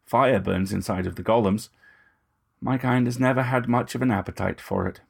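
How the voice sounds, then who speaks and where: A man's voice: medium pitch, moderate pace, vocal fry, breathy edge, pitched above the performer's own voice. A man voicing a corpulent, genteel vampire in an audio story, unctuous, formal and menacing. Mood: grandiose, menacing. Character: corpulent, genteel, predatory male vampire with ragged breath.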